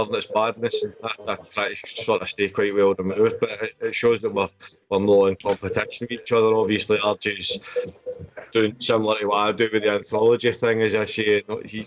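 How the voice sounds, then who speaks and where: A man's voice: fairly low pitch, lo-fi sound. A Scottish man chatting casually and at length as a writer on a panel, circling back to stock phrases. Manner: rambling, repeats stock phrases. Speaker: indie horror author and anthology publisher. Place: remote group video chat.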